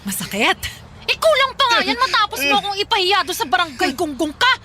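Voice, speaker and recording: A woman's voice: very high-pitched, big pitch swings, fairly quick, background noise. A woman playing a cruel, hot-tempered Filipino mother in a radio drama, scolding and threatening her son harshly. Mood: furious, scolding. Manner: harsh, threatening, taunting shouts. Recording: loud dramatic music underneath.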